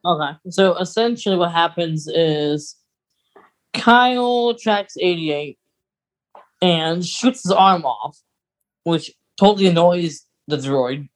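A woman's voice: fairly low pitch, slow. A woman co-hosting a podcast, giving a casual, conversational recap of a story. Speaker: podcast co-host.

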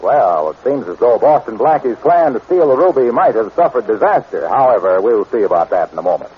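A jovial male announcer from 1940s radio with a very rough, breathy tone. He sells with big, lively swings of pitch. The recording is old and dull. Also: brisk, soft background music. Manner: jovial, salesmanlike.

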